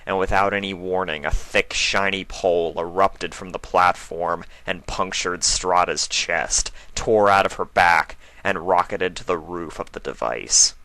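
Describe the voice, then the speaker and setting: A man's voice: low voice, measured pace, hardly any pauses, bright, forceful tone, dry recording. A man narrating a scripted story in a dramatic reading style. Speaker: narrator, fan fiction reader.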